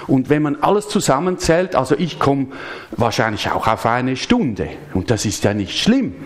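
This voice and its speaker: Man preaching a lively chatty sermon. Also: mid-range voice, moderate pace. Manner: lively, chatty, asks the congregation questions. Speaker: pastor. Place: church service.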